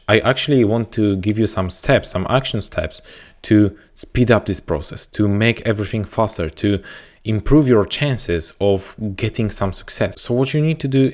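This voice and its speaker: A man's voice: fairly low pitch, a little mellow. A man from Poland with a Polish accent, speaking English as a second language while coaching viewers to camera in an earnest, repetitive, motivational way. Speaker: YouTube growth coach. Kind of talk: direct-to-camera advice talk. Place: indoors, talking to camera.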